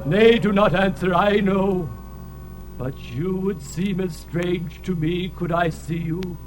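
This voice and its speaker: A man's voice: high voice, slow pace, rough recording. A man playing an ancient, tormented winged alien in a fantasy audio drama, speaking in grandiose, archaic laments. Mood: grave, incantatory. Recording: music laid under the voice.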